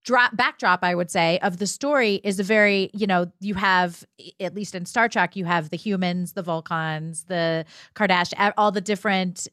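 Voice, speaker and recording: A woman's voice: fairly low pitch, fairly even intonation, moderate pace, few pauses, fairly bright tone, dry recording, clean studio sound. A woman chatting casually as a podcast guest. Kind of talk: casual chat.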